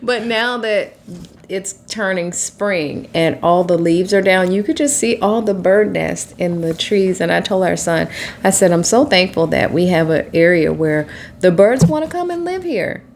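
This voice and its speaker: A woman's voice: fairly low pitch, expressive, unhurried, a little mellow, a little hiss or hum. A woman in her forties, a relationship coach co-hosting, talking casually with a stuffy, nasal voice from allergies. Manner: chatty, laughs easily. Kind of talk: casual conversational chat.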